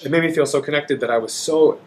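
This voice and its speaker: A man's voice: on the high side, fast, a little mellow, a little hiss or hum. Enthusiastic rambling male opera singer. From Dallas, Texas. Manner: enthusiastic, rambling, frequent fillers. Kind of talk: casual interview chat.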